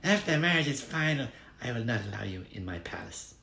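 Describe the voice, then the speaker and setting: A man's voice: medium pitch, very animated, a little fast, gravelly, bright, forceful tone, reverberant, pitched above the performer's own voice, heavily acted. A man voicing a vain, spiteful young woman in a fairy tale, with an affected, snooty manner.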